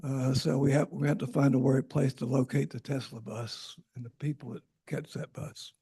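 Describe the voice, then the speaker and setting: A man's voice: medium pitch, level tone, moderate pace, warm tone, husky. A man giving an informal, rambling presentation at a public meeting, often correcting himself as he goes. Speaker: transit agency presenter. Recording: Zoom meeting audio.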